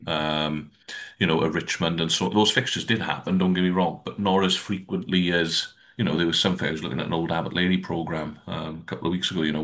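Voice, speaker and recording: A man with a very deep voice discusses rugby casually as a podcast panellist. His intonation stays fairly even, and he talks in a measured, rambling, matter-of-fact way.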